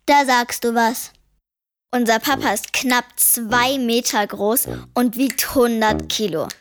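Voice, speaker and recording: A woman's voice: fairly high pitch, takes it slowly. A woman playing a clever, defiant ten-year-old girl in a children's radio play, dry and wordy as she tells the story. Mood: wry, dry. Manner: dry, wry, precociously wordy. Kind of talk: German children's radio play narration and dialogue.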